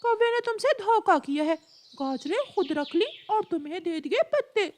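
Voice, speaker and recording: Shrill, very high cartoon parrot played by a woman. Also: animated, normal speed, darkish tone, a little hiss or hum. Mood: scolding. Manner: emphatic, scolding, explanatory. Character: blunt, scolding male parrot friend. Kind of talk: Hindi cartoon story character voice.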